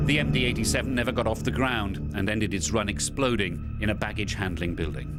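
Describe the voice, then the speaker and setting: A man delivering scripted documentary narration. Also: lowish voice. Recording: music behind the voice. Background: music.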